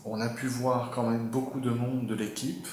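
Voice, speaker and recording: A man speaking in a measured, articulate and reassuring way about his experience as a patient's husband in an interview. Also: medium pitch, flat delivery, darkish tone, reverberant, a little hiss or hum. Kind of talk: interview testimony.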